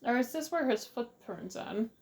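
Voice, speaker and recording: A woman's voice: on the high side, expressive, moderate pace, darkish tone. A woman giving casual let's play commentary, reacting and muttering to herself as she plays. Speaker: gaming streamer.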